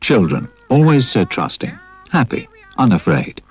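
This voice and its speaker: Deep, husky male narrator. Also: big pitch swings, unhurried, dry recording. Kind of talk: scripted public information film narration.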